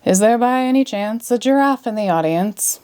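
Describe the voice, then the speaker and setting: A woman voicing an excitable, easily discouraged male stage magician in a children's storybook, with dramatic, drawn-out delivery. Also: fairly high pitch, clear, resonant tone, pitched above the performer's own voice, natural voice. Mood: eager. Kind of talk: children's storybook read-aloud.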